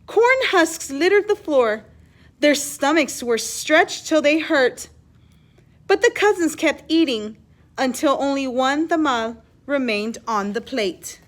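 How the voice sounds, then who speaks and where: Lady reading a picture book to kids, kinda high voice, expressive, stops to ask questions. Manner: pauses to explain and ask listeners questions. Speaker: teacher reading aloud. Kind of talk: expressive children's storybook read-aloud.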